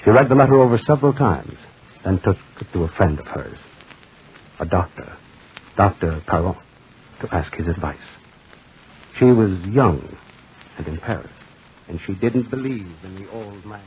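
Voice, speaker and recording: An actor playing a grave, dramatic storyteller narrating an eerie 1940s radio drama. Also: male voice, sits low, lively intonation, husky, exaggerated voice. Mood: ominous. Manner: dramatic, deliberate. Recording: muffled, tinny 1940s radio recording.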